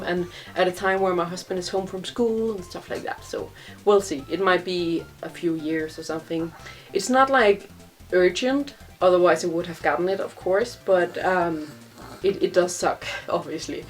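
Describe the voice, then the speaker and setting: A woman's voice: fairly low pitch, steady tone, moderate pace, faint music behind. A young woman from Denmark with a Danish accent, chatting casually in a vlog and rambling with many fillers. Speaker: vlogger.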